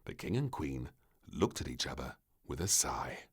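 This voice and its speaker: A man narrating a children's storybook in an expressive, theatrical and measured way. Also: very deep voice, breathy edge.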